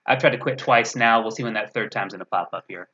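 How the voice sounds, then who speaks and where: A man with a bright, forceful voice at an average pitch hosts an informal gaming chat. He leans on wry, joking asides, and the sound is a little dull and lo-fi. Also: fast talker. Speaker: host. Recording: dull lo-fi audio.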